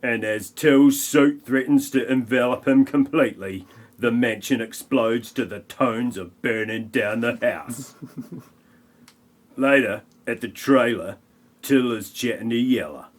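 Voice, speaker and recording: A man's voice: mid-range voice, takes it slowly. A man narrating an amateur comedy audio play with wry, mocking asides.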